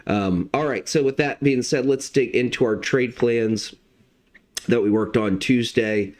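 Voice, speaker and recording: A man hosting a casual live stream of market commentary as a trading analyst. His voice is slightly hoarse, and he talks in a relaxed way with many uh's and um's. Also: medium pitch, unhurried. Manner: relaxed, lots of fillers like uh and um.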